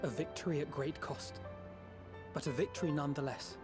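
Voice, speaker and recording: A man's voice: fairly high pitch, brisk, music in the background, natural voice. Actor as an earnest hero, lighter male voice. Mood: earnest resolve.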